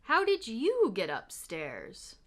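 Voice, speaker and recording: A woman voicing a brisk, puzzled male night watchman in a storybook, questioning in loud exclamations. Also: a little high, huge pitch swings, full character voice. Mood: friendly surprise.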